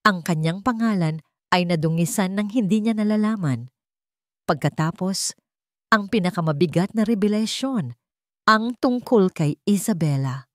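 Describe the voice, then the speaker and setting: A woman storyteller giving a dramatic scripted narration with expressive delivery and pauses. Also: mid-range voice, dry recording, clean studio sound.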